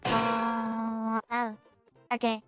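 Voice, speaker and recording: Very high cutesy anime girl voiced by a man through a voice changer. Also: monotone, extremely slow, over music, full character voice. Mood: excited, goofy. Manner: playful teasing prank talk. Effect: real-time voice changer. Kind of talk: trolling strangers over game voice chat.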